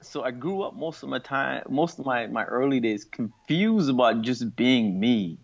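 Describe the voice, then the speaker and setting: A man around forty from Singapore, talking over his past in an interview, pausing and restarting as he thinks. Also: medium pitch, animated, creaky. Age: late 30s or 40s. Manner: thoughtful, halting with restarts and fillers. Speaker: guest. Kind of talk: reflective interview talk. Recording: muffled lo-fi audio.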